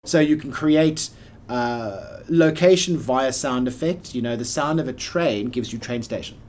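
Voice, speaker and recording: A man's voice: mid-range voice, a little slow, a little mellow. A man in his forties giving a casual, plain-spoken explanation in an interview, with emphatic asides. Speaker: radio play producer explaining the process. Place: small office or studio room by a window, indoors.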